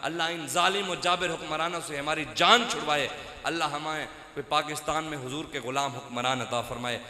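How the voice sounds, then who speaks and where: A man preaching a fiery sermon in Punjabi-accented Urdu, swinging between loud outbursts and low, quiet lines. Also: fairly even intonation, a little fast, crowd noise. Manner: impassioned, rising to loud outbursts then dropping low. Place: outdoor religious gathering with loudspeakers. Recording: echoey hall with long reverb.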